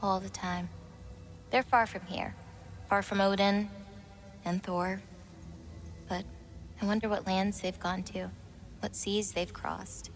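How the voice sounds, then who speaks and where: A woman's voice: medium pitch, level tone, takes it slowly, pauses often, faint music behind. A young woman playing a playful, teasing giantess in a video game, bold and wry in manner. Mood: thoughtful, wry. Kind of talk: naturalistic video game acting.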